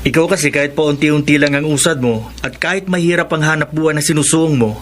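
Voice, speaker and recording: An actor playing a friendly, jokey and admiring young male friend in a Filipino radio drama, with an expressive delivery. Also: fairly high pitch, quick pace, close to an everyday voice.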